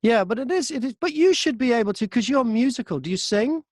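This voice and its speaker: A man's voice: extremely high pitch, expressive, quick pace, a little mellow, dry recording, clean studio sound. A British man, an audiobook narrator, speaking as an interview guest and explaining things conversationally with examples.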